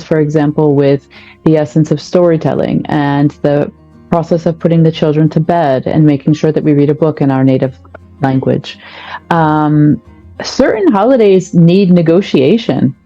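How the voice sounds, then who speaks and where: A woman's voice: low-pitched, moderate pace, warm tone, muffled recording, faint music behind. A woman, a podcast guest, explaining family life in a reflective, thoughtful way. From United States. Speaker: guest, parenting coach. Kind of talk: podcast conversation.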